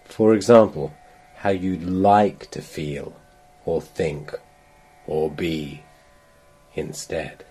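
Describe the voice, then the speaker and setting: A man guiding a meditation with gentle, measured, unhurried instructions and long pauses. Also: sits low, fairly even intonation, breathy edge. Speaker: guided meditation narrator. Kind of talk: guided meditation, slow soothing instruction.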